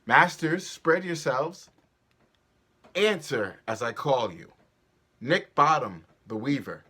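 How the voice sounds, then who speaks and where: A man's voice: mid-range voice, animated, slow pace, bright, forceful tone, husky, heavily acted. A man playing a fussy, businesslike carpenter directing amateur players, declamatory and instructive in a Shakespeare reading. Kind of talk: theatrical Shakespeare staged reading.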